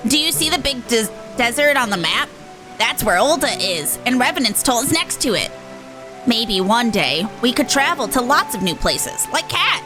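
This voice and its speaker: A woman's voice: a little high, sing-song, normal speed, over music, rough recording. A woman voicing a sweet young child in a video game, earnest and full of wonder. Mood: dreamy, hopeful.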